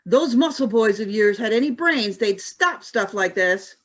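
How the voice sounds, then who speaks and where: A woman's voice: medium pitch, lively intonation, unhurried. A woman playing a defiant deputy governor in a radio play reading, assertive and indignant.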